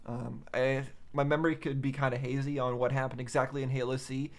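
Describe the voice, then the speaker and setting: A man's voice: mid-range voice, level tone, a little fast. Musing man giving casual game commentary. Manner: hesitant, musing, thinking aloud. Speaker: reaction video host.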